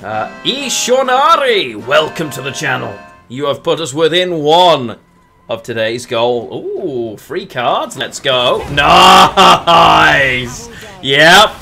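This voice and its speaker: A man's voice: fairly high pitch, big pitch swings, slow, gravelly, bright, forceful tone, faint music behind. A man from England streaming a card game, thinking aloud between moves and answering chat casually with lots of murmured fillers. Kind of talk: casual live-stream chat while playing.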